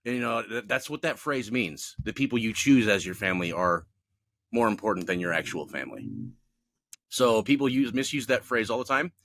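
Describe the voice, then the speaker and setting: A man with a bright, forceful voice recorded dry and close. He co-hosts a casual podcast and rants about his pet peeves. He stays opinionated while poking fun at himself. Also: lowish voice, fairly quick, no room sound. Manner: opinionated, animated, self-mocking. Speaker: podcast co-host. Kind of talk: casual ranting chat.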